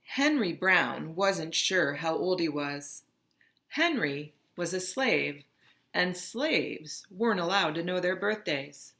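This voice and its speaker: A woman reading a children's picture book aloud in an expressive, dramatic narrating style. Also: on the low side, takes it slowly, creaky. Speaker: storybook reader.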